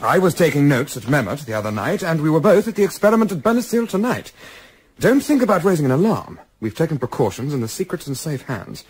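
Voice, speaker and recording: Young English gentleman adventurer male actor, husky edge, lively intonation, clipped and formal, cool-headed, radio drama. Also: a little high, fast. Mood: cool, resolute. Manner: clipped, formal, self-assured. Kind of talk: radio play acting.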